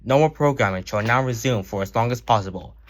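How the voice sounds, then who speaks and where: A man's voice: medium pitch, fast talker. A man speaking as an emergency broadcast announcer, reading a scripted news announcement in a formal, solemn manner.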